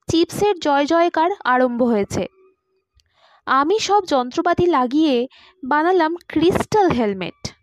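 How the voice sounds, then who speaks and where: A woman's voice: high voice, darkish tone, dry recording. A woman reading a first-person story aloud as a scripted audiobook narrator.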